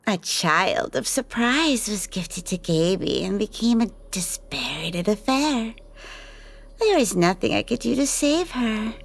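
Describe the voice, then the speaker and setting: A woman voicing a playful, flirtatious woman, a vampire's lover, in an audio drama, teasing and unhurried as she tells her stories. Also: medium pitch, expressive, gravelly. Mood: teasing, confiding. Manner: teasing, unhurried storytelling with frequent laughter. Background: background music.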